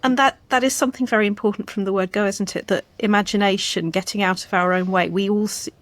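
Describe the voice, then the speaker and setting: A woman's voice: mid-range voice, fairly even intonation, quick pace, no room sound. A woman hosting a conversational interview, speaking in an effusive, enthusiastic way. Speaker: podcast host and interviewer. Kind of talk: warm introduction and conversational interview.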